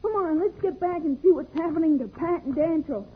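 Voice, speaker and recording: Eager little boy pilot, super high voice, old radio serial, thin scratchy sound. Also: a little fast, phone-quality sound. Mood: curious. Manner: excitable, boyish exclamations. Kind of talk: 1950s radio serial acting.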